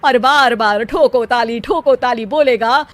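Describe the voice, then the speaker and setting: A woman voicing a long-suffering middle-aged father, measured and dryly resigned. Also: on the high side, slight background noise, natural voice. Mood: resigned, wry.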